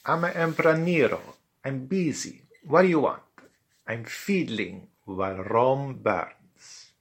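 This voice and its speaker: A man's voice: medium pitch, takes it slowly, voice pushed up. A man voicing the curt, pompous ghost of a busy Roman emperor in a comic story. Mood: irritated. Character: busy, irritable male Roman emperor spirit.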